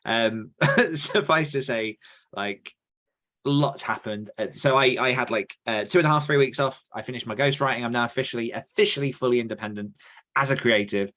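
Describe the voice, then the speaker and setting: A man's voice: medium pitch, moderate pace, a bit bright. A man co-hosting a podcast in casual chat, talking in a chatty, rambling, self-deprecating way. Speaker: podcast co-host and author.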